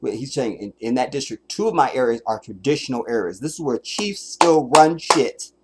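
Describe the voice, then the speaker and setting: A man's voice: medium pitch, lively intonation, moderate pace, very noisy. A man streaming commentary over a clip, blunt and emphatic, swearing freely. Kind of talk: profane reaction commentary.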